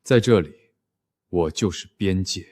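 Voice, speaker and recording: An actor playing a cold, possessive boss in a dubbed animated romance drama, speaking in a stern, formal, cutting way. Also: male voice, sits low, a little slow. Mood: icy, possessive. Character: cold, possessive young male boss, icy and sarcastic. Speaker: company boss.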